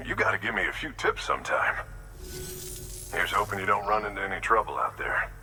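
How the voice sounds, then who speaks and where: Bright, forceful folksy mountaineer man. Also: sits low, animated, brisk, exaggerated voice. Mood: friendly, earnest. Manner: chatty, conversational. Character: friendly, folksy mountaineer man asking a favor. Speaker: quest giver. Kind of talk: video game character dialogue. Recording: faint background noise.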